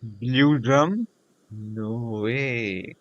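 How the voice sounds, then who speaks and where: A man's voice: mid-range voice, drawn-out words, highs cut off. A man with an Indian accent and native Hindi, reading game text aloud and reacting casually as he streams. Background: game music.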